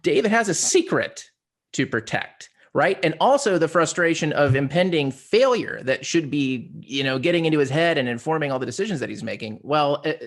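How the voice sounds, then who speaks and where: A man's voice: fairly high pitch, fairly bright tone. A man on a podcast panel giving emphatic opinions in casual conversation, now and then swearing. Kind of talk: casual, opinionated film discussion.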